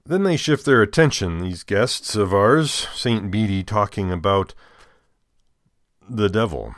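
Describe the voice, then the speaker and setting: American male, deep register, dry recording. Deacon hosting scripture readings with informal, deliberately phrased commentary. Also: dry recording. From United States.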